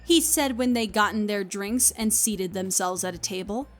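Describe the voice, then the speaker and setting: A woman's voice: mid-range voice, normal speed. A woman reading scripted story narration as the narrator of an audio play. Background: music.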